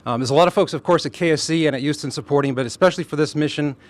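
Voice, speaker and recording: A man's voice: on the high side, rapid-fire. A man, an astronaut, giving a loosely spoken press statement with enthusiasm and many fillers.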